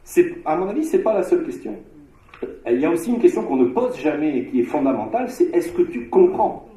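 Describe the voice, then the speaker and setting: Man lecturing, dark voice in an echoey hall. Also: a little high, sing-song. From Marseille, France. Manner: discursive, frequent filler pauses and restarts. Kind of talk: academic conference lecture.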